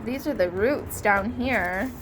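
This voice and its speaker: A woman reading a storybook aloud as a teacher, expressive and stopping to put questions to children. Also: mid-range voice. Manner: expressive, drawn-out emphasis, prompting listeners with questions. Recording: light background noise.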